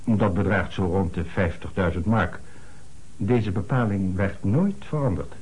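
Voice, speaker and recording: Radio play casting: formal, earnest middle-aged notary, low husky voice, measured and quick to take offence. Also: male voice, darkish tone, low-quality recording. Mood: explanatory.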